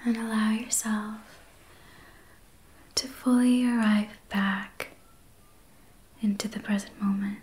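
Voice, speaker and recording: Female ASMR Reiki host: breathy whisper, soft mellow tone, gentle and soothing, very even delivery. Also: medium pitch. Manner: slow, gentle, soothing guidance. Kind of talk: soft-spoken whispered ASMR guided healing session.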